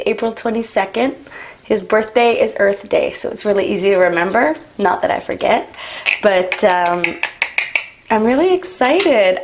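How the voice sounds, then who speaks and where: Young woman vlogger, raspy and gravelly, loose rambling chat. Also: on the high side. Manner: rambling, frequent "like" and "um". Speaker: beauty vlogger. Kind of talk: chatty get-ready-with-me talk. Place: home, doing makeup.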